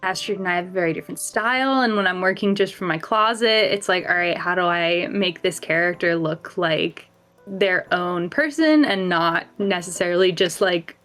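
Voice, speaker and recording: A woman actor chatting casually on a panel in a halting, self-deprecating way. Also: fairly low pitch, a bit bright, soft background music. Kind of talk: casual chat.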